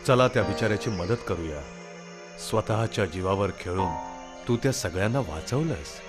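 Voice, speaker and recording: Male actor as regal king, children's cartoon, lowish pitch, authoritative, clear background music. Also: animated, normal speed, lightly acted. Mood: compassionate. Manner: regal, commanding. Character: authoritative human king of the realm.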